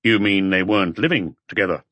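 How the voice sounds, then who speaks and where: A man playing a calm police detective chief who questions tersely and methodically in an audiobook. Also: low voice, a little fast, no room sound. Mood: calm, probing.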